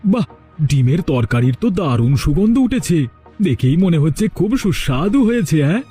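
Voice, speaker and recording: A man's voice: fairly high pitch, big pitch swings, fairly quick, dark tone, soft background music. A man voicing a trusting, wronged village husband in a melodramatic cartoon, theatrical and plaintive. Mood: pleased.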